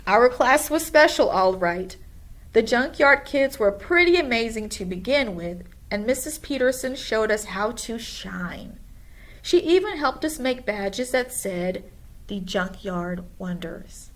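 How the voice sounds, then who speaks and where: A woman reads a children's story aloud. Her voice sits a little high, and she takes the narration very slowly. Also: expressive. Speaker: school administrator reading aloud.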